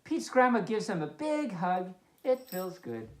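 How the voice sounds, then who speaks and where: Lady doing kids storytime as a playful pup, slow pace, really animated, chatty little comments. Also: medium pitch. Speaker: host of a children's story time. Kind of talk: expressive storybook read-aloud with chatty asides. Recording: roomy, echoing indoor sound.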